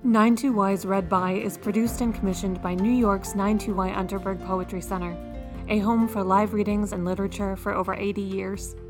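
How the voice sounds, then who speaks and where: A woman reading a scripted podcast outro as the announcer. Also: medium pitch. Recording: music bed under voice. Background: music.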